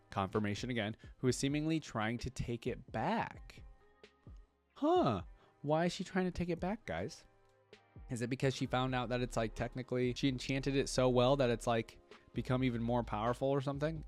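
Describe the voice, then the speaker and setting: Low-voiced man doing casual reaction commentary. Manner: conversational, addresses viewers as guys. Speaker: reaction video host.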